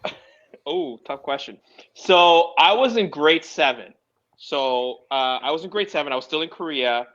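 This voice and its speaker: A man whose first language is Russian, a guest DJ telling stories in a relaxed, casual interview over a home video call. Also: fairly high pitch, unhurried, muffled recording. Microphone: home video call mic.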